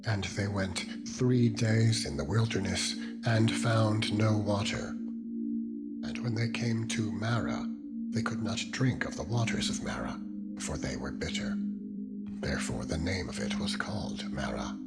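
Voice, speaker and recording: A man giving a solemn, dramatized scripture reading in a measured, declamatory manner that lifts toward proclamation. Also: on the low side, vocal fry. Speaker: narrator, Bible reader. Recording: loud meditative music with singing-bowl tones behind. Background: loud music, ringing singing bowl tones.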